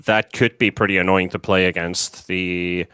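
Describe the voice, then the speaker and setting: A man with a Bulgarian accent casting an esports match, his voice a bit nasal and a little sore from a recent flu. He speaks in a lowish, smooth tone, relaxed and chatty with plenty of fillers. The sound is dry and close. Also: normal speed, no room sound. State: recovering from flu, slightly sore voice. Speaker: esports caster. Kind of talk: live game commentary.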